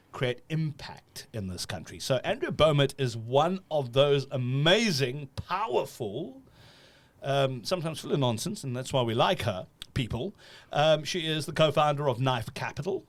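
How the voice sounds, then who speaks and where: A man's voice: medium pitch, lively intonation, moderate pace. A man from South Africa hosting an interview podcast, upbeat and playful, repeating words when he gets going. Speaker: podcast host.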